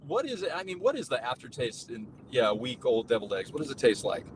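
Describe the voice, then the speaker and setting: A man's voice: very animated, quick pace. A man hosting a talk show, chatting in a rambling, jokey way from the passenger seat of a car. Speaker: host. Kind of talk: casual chat. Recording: distant mic with road noise. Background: car and road rumble.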